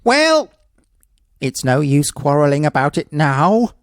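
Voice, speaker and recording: A man's voice: on the high side, sing-song, slow pace, dry recording, clean studio sound, exaggerated voice. A man voicing a greedy, henpecked woodcutter husband who protests and frets in a children's storybook. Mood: resigned, worried.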